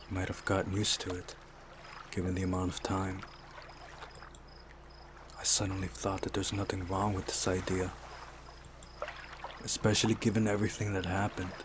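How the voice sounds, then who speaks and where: A man reading visual novel narration aloud in a measured, soft dramatic way, as a playthrough host. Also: low voice, completely flat delivery, background noise. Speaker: host of a game playthrough channel. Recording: music behind the voice. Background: game music.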